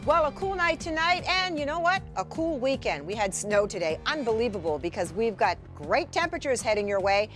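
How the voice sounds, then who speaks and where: A woman's voice: on the high side, big pitch swings, brisk, hardly any pauses, a bit bright, faint music behind. A woman with an American accent giving a chatty television weather teaser. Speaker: weather presenter.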